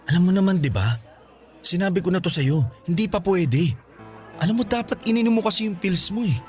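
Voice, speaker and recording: An actor playing an evasive, selfish young boyfriend in a Filipino radio drama, defensive and insistent. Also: male voice, high voice, lively intonation, brisk, a little mellow. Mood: defensive, coaxing. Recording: music bed behind the voice.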